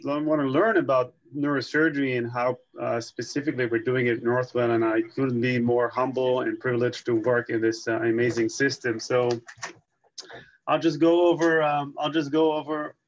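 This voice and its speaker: Man in his thirties from Israel, webinar presenter, halting delivery, muffled call audio. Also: mid-range voice, level tone. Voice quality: halting, many restarts and fillers. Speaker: neurosurgery fellow presenting. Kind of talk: webinar talk with slides. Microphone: video call. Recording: slightly dull video call audio.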